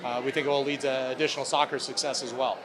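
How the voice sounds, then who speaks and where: A man's voice: medium pitch, little pitch movement, fast talker, a crowd in the background. A man speaking as a club president, answering reporters' questions in upbeat corporate language. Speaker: sports club president at a press conference.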